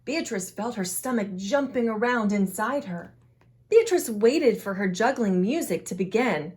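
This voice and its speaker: A woman reading a children's picture book aloud in an expressive, animated way, acting out the dialogue for young listeners. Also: medium pitch, a little slow. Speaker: children's storytime host and reader.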